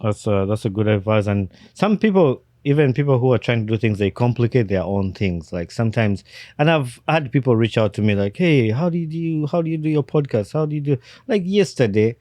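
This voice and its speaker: A man from Uganda, hosting a podcast and telling his own story in casual, animated chat. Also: medium pitch, fairly quick, no echo, clean studio sound. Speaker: podcast host.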